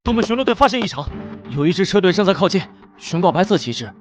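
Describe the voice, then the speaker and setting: A man voicing an earnest junior soldier in an animated drama, reporting to superiors with eager urgency. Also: high voice, fast talker, faint music behind. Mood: alert. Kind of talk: animated motion-comic drama dubbing.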